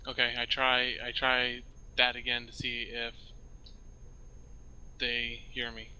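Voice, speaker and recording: Man, very bright forceful voice, clear, flat delivery, casual gamer talk. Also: medium pitch, slow, a little hiss or hum, poor audio. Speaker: player in a role-play game.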